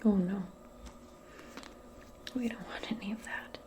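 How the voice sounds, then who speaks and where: A woman speaking softly and breathily, often in a whisper, in a gentle, hesitant ASMR roleplay as a modern witch. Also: mid-range voice, fairly even intonation. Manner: gentle, unhurried, hesitant and improvised. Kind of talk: soft-spoken, whispered ASMR personal attention roleplay.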